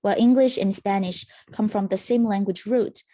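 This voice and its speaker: A woman's voice: medium pitch, normal speed, warm tone, dry recording. A woman student presenter, a native Mandarin speaker, reading a prepared academic presentation formally.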